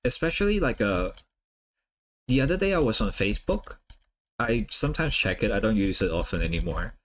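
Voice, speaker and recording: Easygoing man, lowish voice. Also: very animated, fairly quick, fairly bright tone, slight background noise. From Hong Kong. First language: Cantonese. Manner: rambling, lots of "like" and "uh" fillers. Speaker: streamer. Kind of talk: casual chat while drawing on stream.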